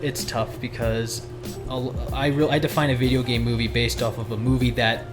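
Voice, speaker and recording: Brief: male podcast talker, casual and discursive, restarts his points as he explains, clearly audible music underneath. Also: medium pitch, flat delivery, brisk. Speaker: podcast guest.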